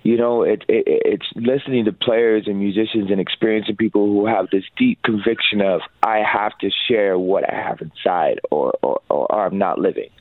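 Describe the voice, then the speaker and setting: A man in his thirties, raised in Hawaii, talks about his music with an earnest, upbeat warmth, his voice sitting fairly low. He comes through a dry, tinny phone line. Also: moderate pace, few pauses, no echo. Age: 30s. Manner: earnest, upbeat, lots of fillers. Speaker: musician guest. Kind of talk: casual interview.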